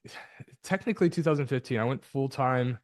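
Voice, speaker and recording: A man's voice: medium pitch, a little fast, no echo. A man hosting an interview podcast, upbeat and chatty, restarting his sentences often. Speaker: podcast host.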